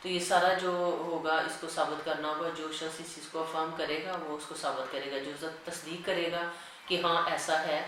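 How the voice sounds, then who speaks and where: A woman's voice: low-pitched, steady tone, normal speed, fairly bright tone, a little hiss or hum. Very echoey room, distant mic, woman law lecturer, Pakistani accent, didactic, repeats and rephrases. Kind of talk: lecture, reading statutes aloud and explaining them.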